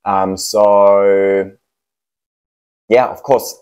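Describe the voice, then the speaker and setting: A man's voice: fairly low pitch, drawn-out words, smooth. A young man from Germany with a German accent touched by Australian English, talking casually to camera at home about himself and his plant care, with plenty of fillers and restarts. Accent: German with Australian influence. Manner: relaxed, with many fillers and restarts. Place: home apartment.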